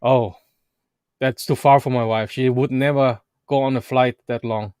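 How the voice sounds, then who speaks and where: A man whose first language is German, hosting a casual livestream chat with halting, animated talk. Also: medium pitch, clean tone, dry recording, studio quality. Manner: halting, restarts and fillers, animated. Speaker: vlog host. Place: Edmonton, Canada.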